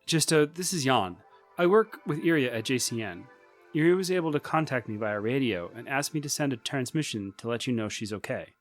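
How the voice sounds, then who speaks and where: A man's voice: mid-range voice, lively intonation, brisk, soft background music, natural voice. A man playing a worried, candid colleague sending a slightly awkward radio message in an audio drama.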